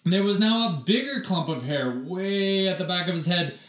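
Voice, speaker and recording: A man reading a children's story aloud expressively, with theatrical emphasis and dramatic pauses. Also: sits high, expressive, fairly bright tone, reverberant. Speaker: read-aloud storyteller, teacher.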